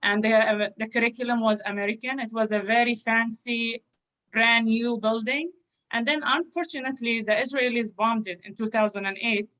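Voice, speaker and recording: Panelist, woman from Gaza, fairly high voice, matter-of-fact storyteller. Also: fairly even intonation, moderate pace, fairly bright tone, low-quality recording. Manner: clear, matter-of-fact recounting. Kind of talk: personal storytelling answer.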